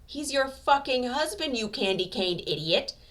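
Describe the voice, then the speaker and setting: A woman voicing a gruff, foul-mouthed young male hero who talks bluntly and forcefully in an amateur audio drama. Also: high-pitched, lively intonation, moderate pace, pitched above the performer's own voice. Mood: impatient.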